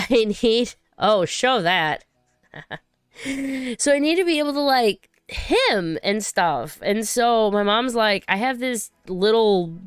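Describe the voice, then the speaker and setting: A woman's voice: mid-range voice, clean tone, no echo, studio quality. A woman hosting a casual livestream, rambling and chatty as she reads viewer comments, her voice still recovering from being sick. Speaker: livestream host.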